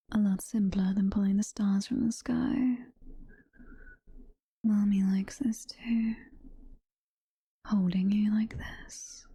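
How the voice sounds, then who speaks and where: A British woman voicing a sweet, motherly, possessive demon girlfriend in a slow, cooing, breathy whisper for intimate ASMR roleplay. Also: mid-range voice, mellow, no echo, heavily acted. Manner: slow, cooing, full of pet names.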